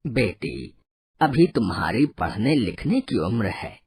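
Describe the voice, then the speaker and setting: A performer voicing a kindly, wealthy old man who advises in a hesitant, fatherly way for an animated moral story. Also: mid-range voice, slightly rough, exaggerated voice. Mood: gentle, fatherly. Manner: hesitant, drawn-out, fatherly delivery.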